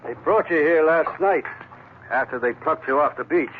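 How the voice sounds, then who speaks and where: An actor playing a cynical, crooked island doctor with an eloquent, sardonic, mock-genteel way of talking in 1940s radio style. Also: male voice, a little high, normal speed, lightly acted. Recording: tinny, noisy vintage radio recording.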